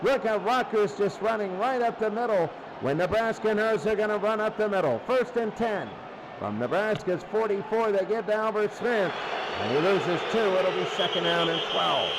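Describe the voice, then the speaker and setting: A man calls a football game live. He speaks in short, clipped phrases, often repeating the down and distance. The broadcast has clear noise behind him. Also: high voice. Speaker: play-by-play sports announcer. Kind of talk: live American football commentary.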